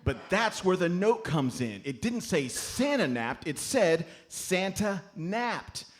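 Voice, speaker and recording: A man's voice: sits high, very animated, slow, exaggerated voice. A man playing a stubborn, self-satisfied detective in a comedy radio play, mock-serious and emphatic, recorded live on stage. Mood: explaining.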